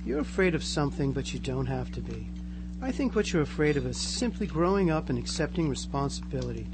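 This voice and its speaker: A man's voice: mid-range voice, sing-song, brisk, noisy background, lightly acted. A man playing an eerily reassuring, converted small-town teenage boy in a radio drama, earnest and gushing. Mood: serene, reassuring. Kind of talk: live amateur radio drama acting.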